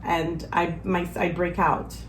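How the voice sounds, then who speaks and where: A woman hosting a casual chat, lively and joking, with slangy asides. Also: fairly low pitch, takes it slowly. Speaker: host. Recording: echoey room, faint ambient noise.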